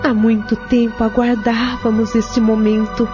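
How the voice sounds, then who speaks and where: An actress playing a loving, serene spirit wife in a radio drama, solemn and tender in manner. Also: female voice, on the high side, little pitch movement, unhurried, close to an everyday voice. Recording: dull lo-fi radio recording with music.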